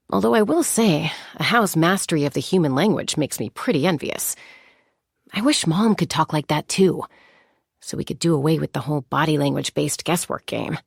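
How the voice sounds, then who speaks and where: Low, composed young warrior woman. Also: creaky, a little mellow, dry recording, clean studio sound. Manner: measured, articulate, reflective delivery. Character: composed, confident young woman, seasoned flying warrior and peacemaker. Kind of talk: scripted game character monologue.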